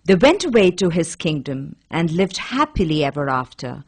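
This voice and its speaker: A woman's voice: deep voice, big pitch swings, moderate pace, husky. A woman narrating a children's fairy tale as a scripted storyteller.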